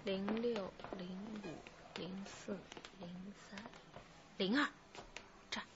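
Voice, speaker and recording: A woman's voice: mid-range voice, steady tone, takes it slowly, dull audio, background noise, lightly acted. An actress playing an eager female junior police detective in a radio drama.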